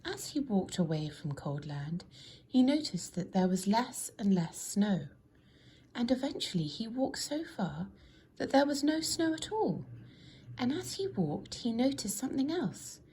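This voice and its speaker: A woman narrating a children's storybook in an expressive, deliberate storytelling manner. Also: fairly high pitch, a little hiss or hum. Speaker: storybook reader.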